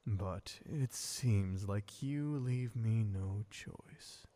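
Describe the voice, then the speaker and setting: A man playing a sadistic, possessive vampire in an ASMR roleplay, speaking in a taunting, condescending, deliberate way. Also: fairly low pitch. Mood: menacing, coaxing. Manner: taunting, condescending, slow and deliberate with soft chuckles. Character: sadistic, possessive male vampire toying with human captive.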